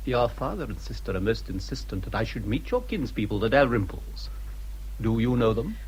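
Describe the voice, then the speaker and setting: An actor playing a suave, flattering English gentleman in a period radio drama, formal and courtly. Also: medium pitch, moderate pace, slight background noise, close to an everyday voice, low-quality recording. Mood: courteous, charming. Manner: courtly, smooth-talking, formal.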